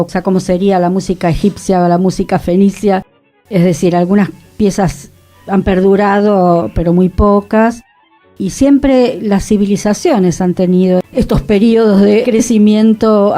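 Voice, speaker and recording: A woman, the director and co-host of a radio show, talking in a thoughtful, unhurried way. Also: mid-range voice, steady tone, dark tone, faint music behind. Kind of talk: relaxed radio conversation.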